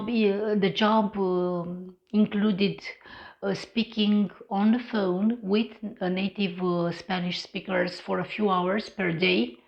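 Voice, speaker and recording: Woman host, Romanian accent, slow and hesitant, slight vocal fry, dull lo-fi recording. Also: lowish voice. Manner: hesitant, many fillers and restarts. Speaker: English-learning channel host. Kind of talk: unscripted personal vlog talk.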